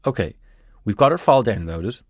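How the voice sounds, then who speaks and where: Low-voiced man narrating a tutorial. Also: very animated, a little fast, a little mellow, dry recording, clean studio sound. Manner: clear step-by-step instructional delivery. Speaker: tutorial presenter.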